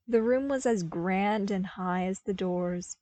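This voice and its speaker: A woman narrates scripted fiction in the manner of an audiobook. Her pitch sits in the middle for a woman. The recording is very dry, without audible room. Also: slow pace, mellow, dry recording. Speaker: podfic narrator.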